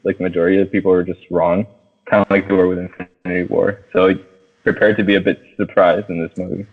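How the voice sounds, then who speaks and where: A low-voiced man hosts a casual movie review podcast over thin, phone-like audio. He talks loosely and enthusiastically, with plenty of swearing and filler words. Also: flat delivery, rapid-fire, husky. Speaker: podcast host.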